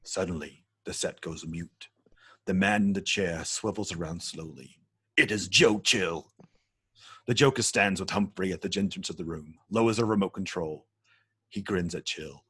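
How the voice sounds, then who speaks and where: Deep, husky male narrator. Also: moderate pace, sharp tone, no room sound. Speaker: narrator reading stage directions. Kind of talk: scripted narration at a table read.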